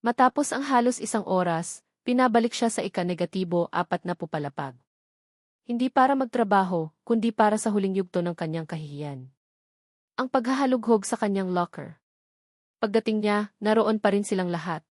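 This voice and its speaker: A woman's voice: mid-range voice, lively intonation, moderate pace, darkish tone, dry recording, clean studio sound. A woman reading scripted narration of a dramatic love story.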